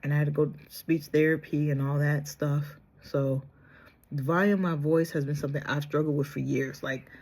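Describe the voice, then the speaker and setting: A woman vlogger chatting casually through a makeup tutorial, soft-spoken and trying to project with a sore, strained throat. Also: low voice, fairly even intonation, moderate pace. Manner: chatty, addresses viewers directly, trails off and restarts. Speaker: beauty vlogger.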